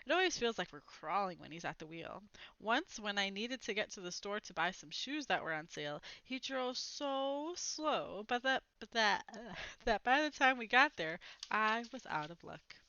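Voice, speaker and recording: A woman reads a children's story aloud in an animated, dramatic way. Her pitch swings widely through each sentence and her tone is bright and forward. The sound is dry, with very little room to it. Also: moderate pace, clear, resonant tone, no room sound, dull audio. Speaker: storybook reader. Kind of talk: expressive read-aloud of a children's novel.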